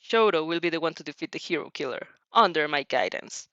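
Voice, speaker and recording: A woman voicing a gruff, contemptuous middle-aged male hero in a scornful, imperious manner for a fan fiction reading. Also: low-pitched, animated, fairly quick, bright, forceful tone, no room sound, dull audio, full character voice.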